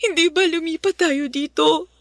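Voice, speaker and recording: A woman's voice: very high-pitched, steady tone, normal speed, no room sound. An actress playing a distressed, loving mother abandoned by her husband in an emotional Tagalog radio drama. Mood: tearful, apologetic. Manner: tearful, emotional, sighing. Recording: music playing behind.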